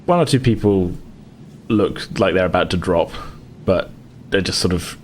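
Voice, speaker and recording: Hesitant low-voiced man narrating a game. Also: normal speed, slight background noise. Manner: hesitant, hedging delivery. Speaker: game master. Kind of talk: improvised narration of a tabletop game.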